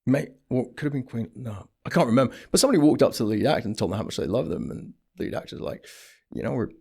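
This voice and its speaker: A man born in England and raised in Switzerland, an actor guest chatting casually and telling animated stories. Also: mid-range voice, rapid-fire, gravelly, husky. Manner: animated storyteller, swings between eager and soft asides. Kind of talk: casual interview chat.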